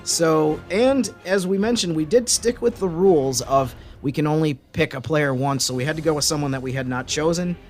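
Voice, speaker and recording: A man's voice: a little high, moderate pace, hardly any pauses, faint music behind. A man co-hosting a sports podcast in casual conversation, talking as a sports writer.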